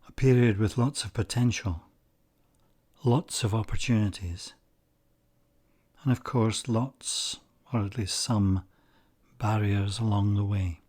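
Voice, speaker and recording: Slow, breathy, low-voiced man. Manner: soft-spoken, calm and unhurried, with breathy near-whispered phrases. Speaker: meditation guide. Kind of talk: slow guided meditation.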